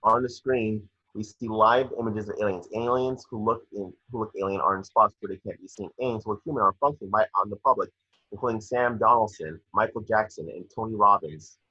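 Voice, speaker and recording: A man's voice: lowish voice, a little fast, bright, forceful tone, husky. A man narrating scripted action descriptions at an amateur table read, on a slightly dull home recording. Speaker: reader of stage directions.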